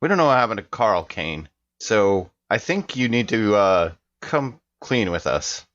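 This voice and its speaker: A man's voice: lowish voice, very animated, measured pace, fairly bright tone, lo-fi sound. A man playing a tabletop game, chatting casually and improvising as his character. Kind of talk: casual improvised role-play chat.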